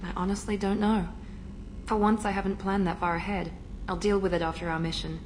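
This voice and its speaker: An actress voicing a composed adult female operative who talks in a measured, reserved way, in a game cutscene. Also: mid-range voice, flat delivery, close to an everyday voice, low-quality recording. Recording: game audio with ambient noise.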